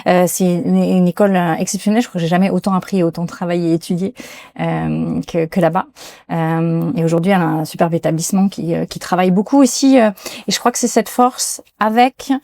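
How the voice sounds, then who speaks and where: A woman's voice: on the low side, steady tone, fairly quick, mellow, dry recording, studio quality. A woman being interviewed as a company executive, speaking in a hesitant way with many fillers and restarts, recorded in a restaurant. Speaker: guest executive. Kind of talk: interview conversation. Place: restaurant in Paris.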